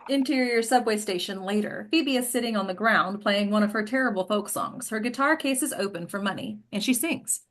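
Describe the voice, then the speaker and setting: Woman reading stage directions, mid-pitched. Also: brisk, few pauses. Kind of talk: scripted narration at a table read.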